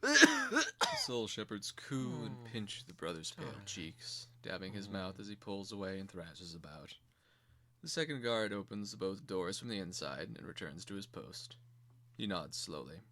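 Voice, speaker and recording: Deep-voiced man narrating a drama. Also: big pitch swings, pushed and bright, a little hiss or hum. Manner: measured, theatrical. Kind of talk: dramatic screenplay reading.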